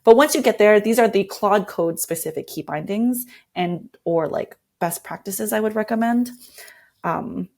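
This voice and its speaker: A woman's voice: mid-range voice, normal speed, a little mellow. A woman speaking as an interview guest, explaining and walking through tips in a conversational way. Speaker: interview guest, design lead.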